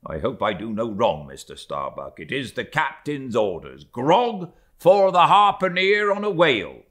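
A man voicing a bluff ship's mate, loud and sarcastic, bright voice, swoops high. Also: very animated, a little slow. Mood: blustering. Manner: loud, blustering, sarcastic questioning. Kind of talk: audiobook character voicing.

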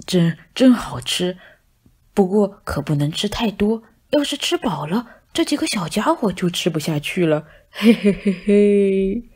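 A woman voicing a big fat male cat in a storybook, blustering and stammering, sly yet soft at heart. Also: mid-range voice, animated, a little slow, husky. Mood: sly scheming.

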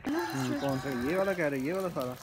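A man's voice: fairly high pitch, wildly swooping pitch, fast. Man, thirties, casual vlog, cheerful exclamations, phone held in hand, noisy recording. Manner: cheerful, exclaims often, narrates to viewers. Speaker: vlogger. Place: home kitchen and rooftop, indoors and out. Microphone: phone camera held in hand.

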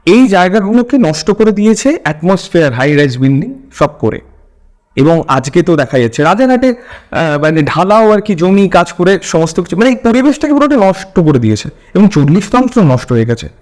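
A man's voice: high-pitched, big pitch swings, fairly quick, a little mellow. A man with a West Bengal Bengali accent, laying out background at length as an interviewer. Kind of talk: long, explanatory interview questioning.

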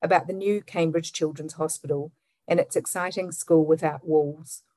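Brief: middle-aged woman, New Zealand accent, low, dry voice, formal measured webinar host on a video call. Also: fairly even intonation, warm tone, dry recording. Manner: measured, formal, reading from a script. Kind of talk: scripted webinar introduction.

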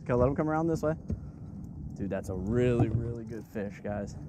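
A man coaching his young sons through catching fish, giving quick, repeated instructions from a small boat. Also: medium pitch. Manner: excited, rapid instructions, repeats himself. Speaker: father fishing with his kids. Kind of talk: casual coaching while filming. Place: small boat on open water outdoors. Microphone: action camera on the boat. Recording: wind and boat noise outdoors.

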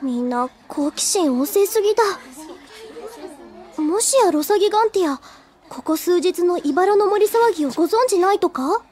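A woman's voice: very high voice, lively intonation, normal speed, darkish tone, slight background noise. An actress playing an earnest, easily flustered teenage schoolgirl in a Japanese anime audio drama. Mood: surprised, alarmed.

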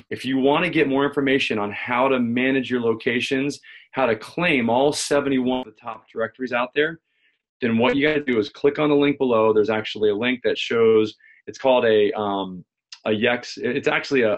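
American man explaining in a tutorial. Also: mid-range voice. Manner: conversational, repeats and restarts phrases often. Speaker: presenter, local marketing consultant. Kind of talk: informal screen-share tutorial, explaining. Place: small home office indoors.

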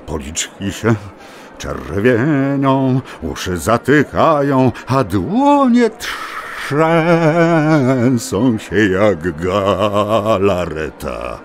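A man narrating a children's tale in a theatrical, dramatic, expressive way. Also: on the low side, drawn-out words, a little hiss or hum. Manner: dramatic, expressive, addresses listeners. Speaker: storyteller narrator. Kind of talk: theatrical scripted children's storytelling.

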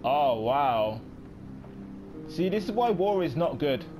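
A young man in his late teens giving casual let's play commentary, rambling with many pauses and quick apologies. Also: on the high side, sing-song, music in the background. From United Kingdom. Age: young adult. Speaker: gaming YouTuber.

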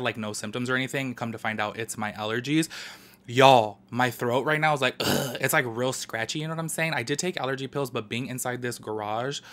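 A young man talking to camera in his garage, his voice raspy and nasal from allergies, chatting casually and animatedly with his viewers. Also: mid-range voice, sharp tone. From Southern California. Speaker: beauty commentary YouTuber. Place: home garage. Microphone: camera close up, studio framing.

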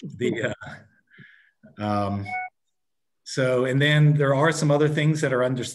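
A man's voice: mid-range voice, steady tone, a little slow, a little hiss or hum, low-quality recording. A man in his sixties giving an informal committee report on a video call, often breaking off and rephrasing as he goes. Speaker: parks and recreation committee member reporting to a town council. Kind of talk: informal spoken report at an online meeting.

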